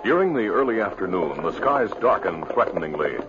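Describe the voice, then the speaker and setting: A man's voice: sits low, very animated, brisk, music in the background. A man narrating a 1950s radio adventure as its announcer in a dramatic, scripted style. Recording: muffled old radio recording.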